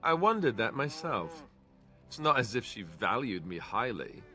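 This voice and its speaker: An actor voicing a wry, self-deprecating young warrior in a fantasy video game, loyal, a little awkward and conversational. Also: male voice, mid-range voice, big pitch swings, a little slow, bright, forceful tone, soft background music. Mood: wistful. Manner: joking, hesitant, conversational.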